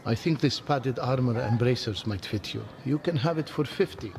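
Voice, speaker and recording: A man's voice: mid-range voice, moderate pace, creaky, noisy background, lightly acted. Man playing an old townsman, reverberant. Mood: plain, wary. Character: old male townsman and trader, plain-spoken. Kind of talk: video game voice acting. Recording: reverberant sound with faint music behind.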